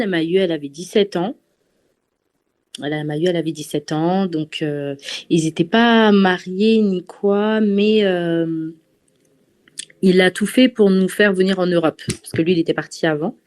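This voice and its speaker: A woman from France giving a personal testimony to a church gathering, pausing often and restarting her sentences. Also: fairly low pitch, darkish tone. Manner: hesitant, many fillers and restarts.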